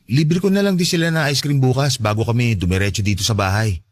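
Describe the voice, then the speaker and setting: A man playing a gentle, effeminate, apologetic boyfriend in a radio drama, mild and conciliatory. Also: mid-range voice, fast talker, close to an everyday voice. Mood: earnest, friendly. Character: gentle, soft-mannered, apologetic boyfriend, effeminate, eager to please. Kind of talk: Tagalog radio drama acting.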